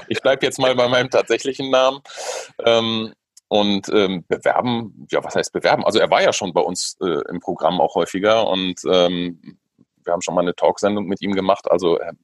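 Low-voiced radio commentator, dry sound. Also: male voice, no echo. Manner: thoughtful, explains at length with many fillers. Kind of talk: conversational podcast interview.

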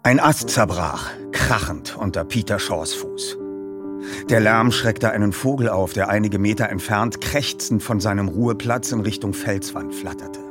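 A man hosting a feature and reading a story aloud as an expressive narrator. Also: fairly low pitch, a little slow, music in the background. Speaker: host and narrator. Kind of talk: podcast introduction and audiobook reading.

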